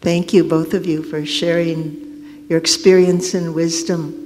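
A man moderating a Q&A, briskly thanking speakers and inviting questions. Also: a little high, flat delivery, a little mellow, a little hiss or hum. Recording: reverberant hall from a distance.

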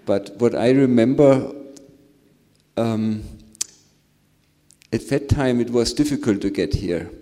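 Slow-spoken man in a reverberant hall. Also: mid-range voice. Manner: slow, searching, with restarts and soft laughs. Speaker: panel speaker. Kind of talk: reflective spiritual sharing at a Q&A.

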